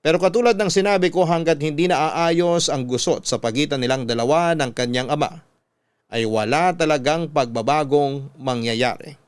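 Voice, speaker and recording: A man with a Filipino accent reading out a young woman's love letter as scripted radio narration, measured and heartfelt. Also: on the high side, clean tone, no echo, natural voice. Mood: reflective, heartfelt.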